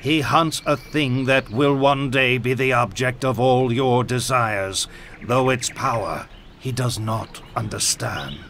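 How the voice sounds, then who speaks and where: A slow, portentous seer. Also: male voice, mid-range voice, a bit bright, a little hiss or hum. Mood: solemn, foreboding. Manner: portentous, deliberate. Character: solemn, cryptic prophetic seer. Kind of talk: dramatic fantasy game dialogue.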